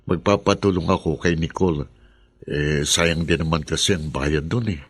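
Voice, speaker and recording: A man's voice: rumbling low, normal speed, slightly rough, no echo. A man playing a supportive, hardworking poor father in a Filipino radio drama, plain-spoken and reassuring.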